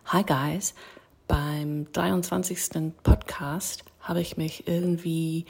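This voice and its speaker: Australian woman in a voice memo, gravelly. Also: low-pitched, fairly even intonation, takes it slowly, darkish tone. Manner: friendly, greets in English. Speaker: listener sending a voice message. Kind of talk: recorded voice message.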